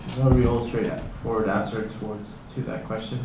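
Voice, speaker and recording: A man's voice: lowish voice, flat delivery, moderate pace. Mid-voiced male panelist, chatty explainer, recorded far off in a cavernous room. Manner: explanatory, loose and conversational. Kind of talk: panel discussion. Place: conference hall. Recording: echoey hall from far off, faint noise.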